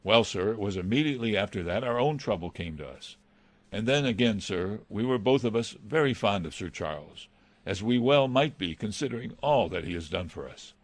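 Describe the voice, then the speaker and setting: A man's voice: fairly low pitch, expressive, brisk, dry recording. A man playing a loyal country-house butler in an audiobook, respectful, earnest and a little hesitant. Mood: earnest, apologetic. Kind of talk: audiobook character dialogue.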